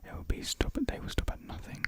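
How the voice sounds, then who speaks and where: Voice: brisk, close to an everyday voice. A whispering reader voicing a mysterious, dying woman spy giving urgent warnings. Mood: tender, urgent. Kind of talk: ASMR whispered script reading.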